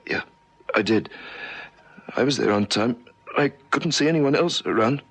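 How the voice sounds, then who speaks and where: Shaken young journalist, husky, hesitant, keeps stumbling over words, radio drama. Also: male voice, medium pitch, expressive, a bit bright. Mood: shaken, halting. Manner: hesitant, stammering, halting. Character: shaken, apologetic young male newspaper reporter. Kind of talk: 1970s radio drama acting.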